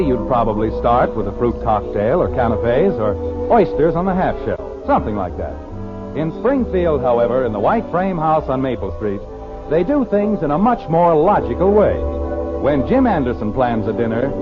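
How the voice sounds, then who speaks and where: A man narrating a radio sitcom in a scripted, old-time announcer style. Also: medium pitch. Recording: dull old radio broadcast, loud music.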